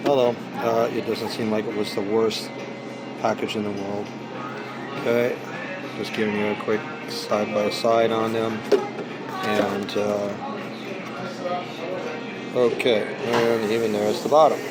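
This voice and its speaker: A hoarse man vlogging in a casual, rambling way with many ums and uhs, recording on a small tripod camera inside a busy coffee shop. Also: on the low side, level tone, measured pace, long pauses. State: hoarse from singing practice. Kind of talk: casual unboxing vlog. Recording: noisy coffee shop with music playing. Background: background music and cafe noise.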